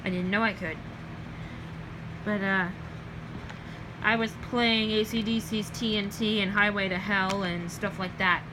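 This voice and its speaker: A young woman with a Southern US accent, chatting casually in a late-night vlog, rambling with many ums and self-corrections and trailing off softly. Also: mid-range voice, fairly even intonation, measured pace, long pauses, noisy background. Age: young adult. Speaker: vlogger.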